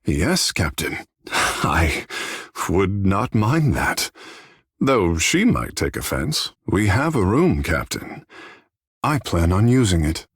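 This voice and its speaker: An actor playing a flirtatious, theatrical godlike fish-man in a video game, with florid, teasing formality, dramatic pauses and tenderness beneath the bravado. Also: sits low, sing-song, slightly rough, no echo, studio quality, heavily acted. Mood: tender, playful. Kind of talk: video game character dialogue.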